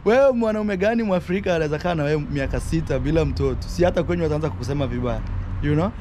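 A man's voice: fairly high pitch, rapid-fire. A young Kenyan man, native in Swahili, chatting casually and animatedly as a travel vlogger interviewing a guest outdoors. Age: young adult. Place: open rooftop terrace, outdoors. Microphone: camera at arm's length. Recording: outdoor urban background noise.

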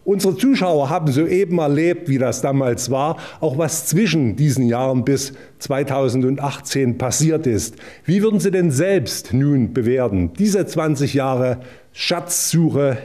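A man's voice: medium pitch, unhurried, mellow. A man working as a TV reporter, putting questions in an on-location interview.

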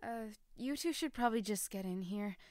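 A woman's voice: mid-range voice, moderate pace, a little mellow, dry recording, natural voice. A woman voicing a worried, exasperated teenage girl who keeps the peace, in an audiobook. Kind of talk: audiobook character acting.

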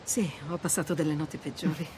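A woman playing a wry, tough adventurer in dramatic video game dialogue, brisk and emotive. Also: sits low, fairly even intonation, background noise, natural voice. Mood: groggy, urgent. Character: wry, tough adventurer woman in her thirties or forties, sarcastic.

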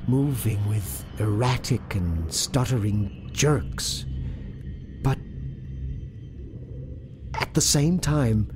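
A man narrating a cosmic horror story in the first person, hushed and tense, with a gravelly edge. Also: mid-range voice. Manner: tense, hushed, building to anguished cries. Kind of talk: dramatic horror audiobook narration. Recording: narration over eerie music.

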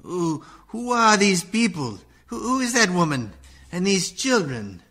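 An actor playing an anxious, humbled old miser who speaks hesitantly in a radio play. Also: male voice, sits high, sing-song, a little slow, pushed and bright, husky, heavily acted. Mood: wondering. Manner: hesitant, stammering.

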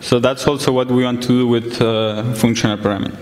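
A young man from Argentina presents at a tech conference, talking in a relaxed, plain way with frequent ums and uhs. His voice sits at a middle pitch. The sound carries a long echo from the large hall, with a faint hum of the room behind it. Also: fairly quick. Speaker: conference speaker. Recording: echoey hall, some distance from mic.

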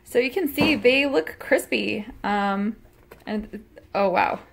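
A woman's voice: medium pitch, takes it slowly, vocal fry. Chatty self-deprecating woman in kitchen. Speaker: cooking vlogger. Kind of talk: casual cooking vlog chat. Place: small home kitchen, indoors. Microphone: phone camera held close.

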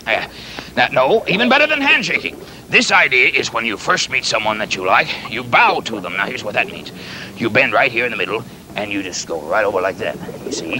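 A man playing a kindly, folksy pirate captain in a children's show, halting and stammering as he rambles and repeats himself. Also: medium pitch, big pitch swings, bright, forceful tone, a little hiss or hum, poor audio. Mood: patiently coaching. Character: folksy, flustered, kindly pirate captain fond of his clumsy pet.

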